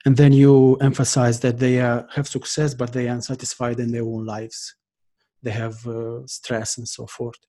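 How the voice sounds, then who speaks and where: A man hosting an interview, speaking with careful, slightly halting phrasing. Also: medium pitch, flat delivery, dark tone. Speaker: podcast host.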